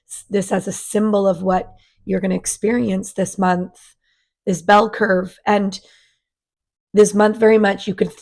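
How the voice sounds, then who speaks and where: Raspy woman recovering from a cold. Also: mid-range voice. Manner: gentle, chatty, with many fillers and restarts. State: raspy from a sinus virus. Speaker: spiritual coach and channel host. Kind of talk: casual monthly energy forecast.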